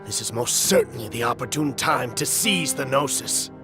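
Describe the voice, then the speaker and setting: A man acts a deferential soldier in a video game. The voice is mid-pitched, formal and dutiful, set against clearly audible music. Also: moderate pace, close to an everyday voice. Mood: dutiful, urging. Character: deferential military subordinate reporting to his lord. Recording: music behind the voice.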